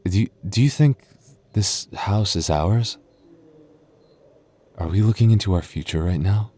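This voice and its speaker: A man voicing an affectionate, teasing young goth boyfriend in an ASMR roleplay, talking softly and intimately. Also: on the low side, a little slow, husky. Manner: soft, intimate, playfully teasing. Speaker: voice actor.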